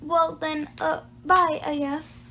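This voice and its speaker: Nervous kid voiced by a woman, high, halting, evasive, for a children's storybook. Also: little pitch movement, bright, forceful tone, exaggerated voice. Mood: awkward. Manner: hesitant, stammering. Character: nervous, evasive young girl, friend of detective.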